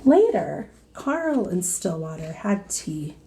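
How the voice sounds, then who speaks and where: A woman reading a children's storybook aloud in a deliberate teacherly way, pausing to put questions to young listeners. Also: lowish voice, sing-song, warm tone, slight background noise.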